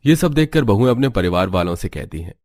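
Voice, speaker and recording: A man with a fairly low voice narrates a scripted cartoon story. His delivery is that of a storyteller, with music playing underneath. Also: expressive, quick pace, a little mellow.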